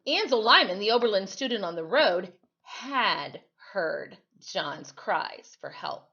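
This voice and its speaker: Woman doing storytime read-aloud, really animated teacher-like delivery, kinda dull lo-fi audio. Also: mid-range voice. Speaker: storyteller reading aloud to children.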